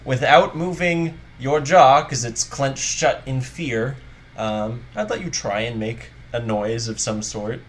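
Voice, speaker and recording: A game master narrating and refereeing a tabletop fantasy game, speaking in a measured, hesitant way with pauses. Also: mid-range voice, expressive.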